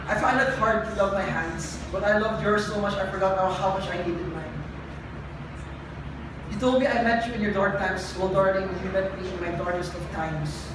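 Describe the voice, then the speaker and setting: A young adult poet performing confessional spoken word live, with a dramatic, deliberate delivery and emphatic repetition. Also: mid-range voice. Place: live event venue with room ambience. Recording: echoey live room, distant mic, noisy.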